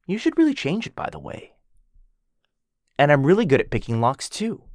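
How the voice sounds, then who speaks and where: A man's voice: on the high side, huge pitch swings, moderate pace, no room sound, studio quality. A man voicing a cocky, jealous werewolf who mocks his rival and teases with a lot of bounce. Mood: teasing. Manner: cocky, mocking, bouncy teasing. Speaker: voice actor in boyfriend roleplay. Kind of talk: ASMR roleplay acting.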